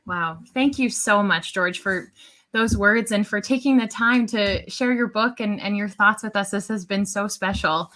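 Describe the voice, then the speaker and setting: A woman's voice: medium pitch, a little slow, a bit bright. A woman, speaking conversationally as the moderator of a hosted discussion. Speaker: interviewer and event moderator.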